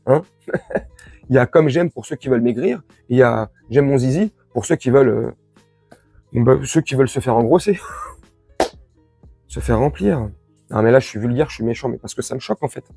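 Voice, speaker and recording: A man from France with a husky voice, giving an informal, crude and sarcastic opinion rant to his followers as an online commentator. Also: medium pitch, dark tone, no room sound. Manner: crude, slangy, sarcastic, indignant.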